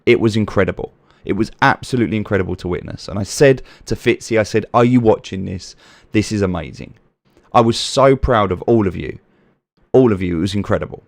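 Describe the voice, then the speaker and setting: A man's voice: fairly low pitch, fairly quick, creaky, no room sound. British male streamer, soothing voice, earnest and preachy, dry close sound. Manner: earnest, preachy, repeats points for emphasis; reads viewer names from chat and answers them. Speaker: livestream host. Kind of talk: casual livestream chat.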